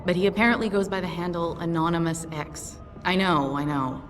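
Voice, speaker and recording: A tough, stubborn female pilot from a video game, voiced by a woman with a lowish, clipped delivery and plenty of swearing. The voice sits back from the mic in a long reverb, with music underneath. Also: brisk, distant mic, slight background noise, lightly acted. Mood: earnest. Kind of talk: video game cutscene dialogue.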